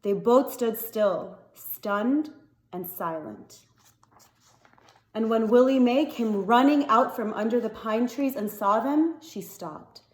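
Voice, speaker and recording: A woman reading a novel aloud as an expressive story narrator. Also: a little high, slow. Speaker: story reader. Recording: echoey, reverberant room.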